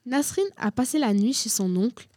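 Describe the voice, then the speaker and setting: A woman narrates a radio story from a script. Her voice is soft-edged and mellow, recorded in a dry space. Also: fairly high pitch, animated, normal speed, no room sound.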